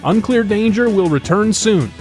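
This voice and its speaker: A man's voice: high voice, expressive, moderate pace, a little mellow, soft background music. An American man hosting a podcast, interviewing guests and reading scripted segments.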